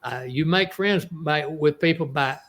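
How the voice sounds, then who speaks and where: A man's voice: fairly high pitch, measured pace, a bit bright. A man in his eighties with an East Texas accent, an author telling stories in an interview, rambling with many restarts. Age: 80s. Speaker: author guest. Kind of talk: casual interview storytelling.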